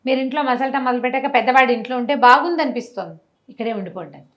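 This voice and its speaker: A woman storyteller speaks very quickly in a fairly high voice. She tells a moral tale like a conversation, slipping into dialogue and turning to the listener to drive the lesson home. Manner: animated, didactic, acts out dialogue with rhetorical questions.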